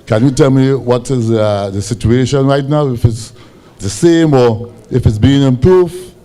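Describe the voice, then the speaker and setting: A man with a Grenadian accent and a big voice, asking questions at a town hall in a halting, comradely way. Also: mid-range voice, dark tone. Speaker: audience questioner.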